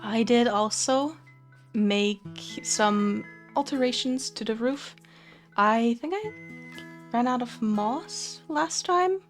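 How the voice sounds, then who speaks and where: A young woman from Finland, chatting casually with fillers and soft laughs while touring her game build. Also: fairly high pitch, slow, soft background music. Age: young adult. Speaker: Minecraft let's play creator. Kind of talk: casual gameplay commentary.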